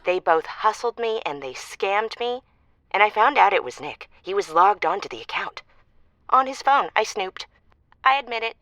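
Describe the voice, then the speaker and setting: A woman's voice: mid-range voice, expressive, moderate pace, a bit bright, no echo, lightly acted, rough recording. A woman playing a disgruntled ex-date calling a comedy radio show, talking in a casual, blunt, griping way. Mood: indignant. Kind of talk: comedic radio call-in acting.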